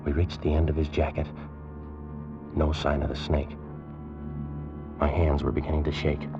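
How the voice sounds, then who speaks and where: A man narrating a tense story in the first person in 1940s radio drama style, slow and suspenseful. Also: extremely low pitch, monotone. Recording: dull old radio recording with music.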